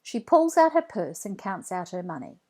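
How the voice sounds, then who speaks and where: A woman reading a children's story aloud in an expressive, deliberate read-along style. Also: medium pitch. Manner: deliberate, animated phrasing for children.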